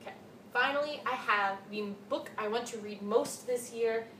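A young woman with a fairly high voice talks casually and gushingly, like a home book vlogger. She sounds set back from the camera mic in an echoey room, with a little noise underneath. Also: normal speed, fairly bright tone. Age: 20s. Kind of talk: casual chat to camera about books. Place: small cluttered room at home, indoors. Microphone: camera mic a short distance away. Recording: echoey room, distant mic, faint hiss.

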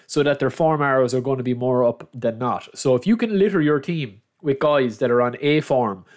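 A young man talks casually over a screen recording, sounding a little nasal from a head cold. His manner is chatty and matey, addressing his audience as lads while he walks through player picks. His pitch sits at an ordinary middle level for a man. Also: moderate pace. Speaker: gaming channel host. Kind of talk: screen-recorded game guide.

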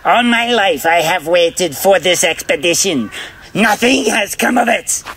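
An actor playing an arrogant, obsessed Nazi zoologist with a German accent and a grandiose manner in a radio drama. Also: male voice, sits high, animated, a little slow, a bit bright, slightly rough, exaggerated voice. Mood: frustrated. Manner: grandiose, exclamatory.

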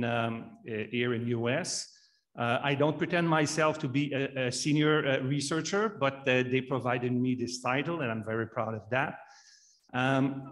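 A man in his sixties from Canada with a French Canadian accent, introducing himself as a panelist at a conference, pausing often with ums. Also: medium pitch. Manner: halting, many ums and uhs. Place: conference room with large window. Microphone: handheld mic.

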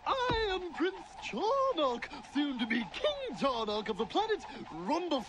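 A man's voice: very high voice, huge pitch swings, a little slow, a crowd in the background, heavily acted. A man voicing a jolly, naive alien prince in a cartoon, with an effusive manner. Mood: delighted, gracious. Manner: posh, effusive, exclamatory. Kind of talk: animated cartoon acting.

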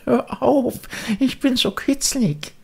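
A tiny childlike creature of wood, whimpering and pleading in a very high, thin falsetto. It is plaintive and fragile, straight out of a storybook. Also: male voice, very animated, takes it slowly, gravelly, husky, full character voice. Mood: ticklish squeal. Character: tiny delicate wailing voice of a living log, childlike.

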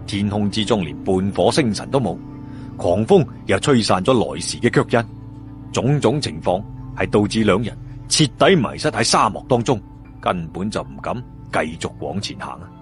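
Male Cantonese storyteller, Hong Kong accent, lively intonation, dramatic and colloquial, over clear background music. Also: lowish voice. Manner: expressive, colloquial, with drawn-out sentence particles. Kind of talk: dramatic audiobook-style fantasy story narration. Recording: music behind the voice.

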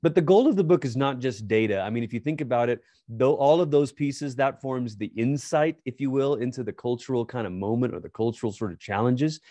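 A man's voice: medium pitch, fairly quick, few pauses, no room sound. A man in his forties who grew up in Malaysia, a pastor and author answering interview questions earnestly from a home study on a studio microphone. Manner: earnest, explanatory, animated. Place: home study with bookshelves, remote. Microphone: boom-arm studio mic.

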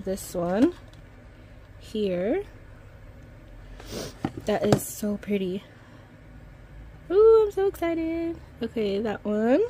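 A woman's voice: medium pitch, a little mellow, slight background noise. A woman chatting casually and enthusiastically as she shows off nail polish products as a vlogger. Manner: gushing, enthusiastic. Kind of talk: casual product showcase chat.

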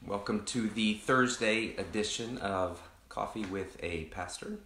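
A man, a pastor casually chatting with online viewers in a rambling, reflective way, his voice deepened by allergies. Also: unhurried, pushed and bright, roomy sound, slight background noise. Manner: rambling, many restarts and fillers, greets viewers by name. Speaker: pastor hosting an online coffee chat.

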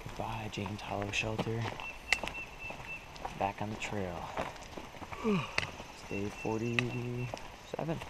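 A young man talks unhurriedly in a slightly low voice while hiking. He is easygoing and laughs often. The recording carries noticeable outdoor noise from a head-mounted action camera on a mountain trail. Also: steady tone, takes it slowly, long pauses. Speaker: thru-hiker vlogger. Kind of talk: casual trail vlog.

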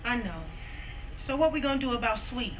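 A woman's voice: fairly high pitch, expressive, fairly quick, a little hiss or hum, lightly acted, poor audio. A woman playing a caring, worried young sister in a video game, speaking in a colloquial, concerned way. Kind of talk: video game cutscene acting.

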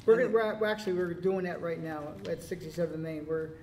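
A town board member questioning and discussing plans at a public meeting, casual and talkative. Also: male voice, a little high, quick pace, a little mellow. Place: town hall meeting room, indoors. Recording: echoey room audio.

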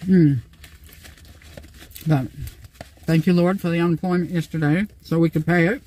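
A woman's voice: on the low side, fairly even intonation, moderate pace, warm tone. An older woman chatting casually as a vlogger inside a parked van. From Canada. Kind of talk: casual chat.

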